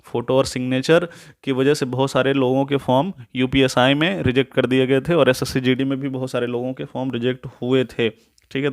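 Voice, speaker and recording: Man giving an instructive explainer. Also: mid-range voice. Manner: instructive, repeatedly checks in with a tag question. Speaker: tutorial presenter. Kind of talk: informal step-by-step explainer, reading points then explaining.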